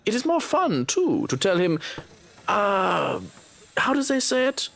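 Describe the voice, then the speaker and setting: A man playing a dryly witty, sardonic employee of a rich man in a video game, speaking in formal, measured wording. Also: high voice, huge pitch swings, creaky, a bit bright, exaggerated voice. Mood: smug, amused.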